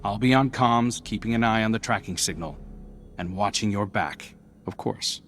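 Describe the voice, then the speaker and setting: A man's voice: on the low side, lightly acted. A man voicing a wry little robot sidekick. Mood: wry encouragement. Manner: friendly, brisk and wry. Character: small floating robot companion, upbeat and helpful. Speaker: video game character. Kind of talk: game cutscene voice acting. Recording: game dialogue over loud music.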